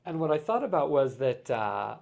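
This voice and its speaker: A man's voice: mid-range voice, very animated, normal speed, creaky, a little mellow. Brief: male guest, casual chat, thoughtful, loose and filler-heavy delivery. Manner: reflective, explains with many "like" fillers. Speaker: podcast guest, artist. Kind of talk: casual conversation.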